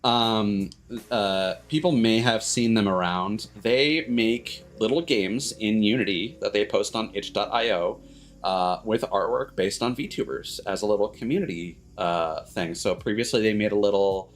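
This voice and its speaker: Low-voiced man rambling on a livestream. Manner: rambling, halting, backtracking mid-sentence. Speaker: streamer host. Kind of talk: casual livestream chat. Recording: music playing behind the voice. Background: background music.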